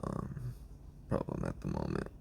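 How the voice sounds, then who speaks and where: A hoarse man with a raspy voice that is giving out, giving a casual, rambling breakdown of his team with lots of ums and restarts. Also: moderate pace, a little mellow, a little hiss or hum. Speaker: video creator explaining his team.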